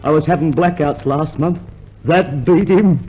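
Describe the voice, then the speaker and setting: A man acts a chirpy hypochondriac from an old radio comedy. His voice sits high, and he is earnestly proud of every ailment. The old recording has a clear layer of noise. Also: a little slow, a little mellow, lo-fi sound, lightly acted. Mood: self-pitying, proud. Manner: earnestly boastful about being ill. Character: chirpy hypochondriac male customer who relishes his ailments.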